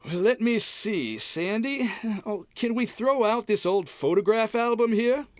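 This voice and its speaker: A man's voice: high voice, animated, a little slow, dry recording. An American man playing a bumbling, cheerful packrat husband in old-time radio comedy style, folksy and hemming as he makes excuses. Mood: cheerful, sheepish.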